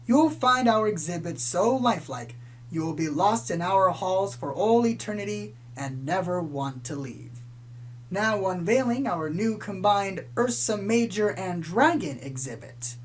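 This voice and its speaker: A woman playing a calm small-town pony radio host in an audio drama, reporting eerie doom in a measured, deadpan news style. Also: mid-range voice, slight background noise, lightly acted. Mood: smooth announcing. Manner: measured, deadpan about sinister things. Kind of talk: scripted spoof community radio news narration.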